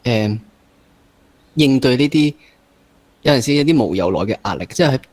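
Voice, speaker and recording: A man's voice: mid-range voice, expressive, normal speed, darkish tone, no room sound. Young Hong Kong Cantonese man hosting. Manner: mixes English words into speech. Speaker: podcast host. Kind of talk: conversational interview.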